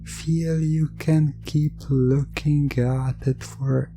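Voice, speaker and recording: A man delivering scripted hypnosis roleplay narration in an ASMR style, soothing and suggestive, with long pauses. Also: on the high side, flat delivery, warm tone, soft background music.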